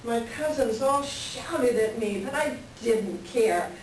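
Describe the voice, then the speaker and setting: A woman's voice: fairly high pitch, expressive, slow. Woman acting a wistful housewife in an echoey room. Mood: wistful reminiscence. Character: wistful married woman, dreamy, melancholy, recalling childhood. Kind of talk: staged reading of a one-act play. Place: library reading before a microphone at some distance. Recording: very echoey room, distant mic, faint noise.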